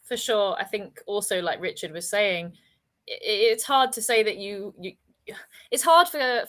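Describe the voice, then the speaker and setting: A woman with a lowish voice hosts a webinar over a video call. She talks in an easy, chatty way, full of enthusiasm and quick laughs. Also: a bit bright. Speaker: webinar host. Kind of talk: casual webinar chat.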